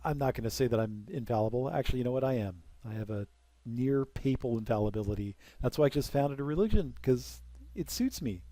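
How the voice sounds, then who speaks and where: A young man talks his way through a strategy game in a mellow, relaxed voice, close to a dry desk microphone. He rambles from point to point and drops self-deprecating jokes along the way. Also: medium pitch, fairly quick, dry recording. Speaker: let's play commentator. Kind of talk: casual game commentary.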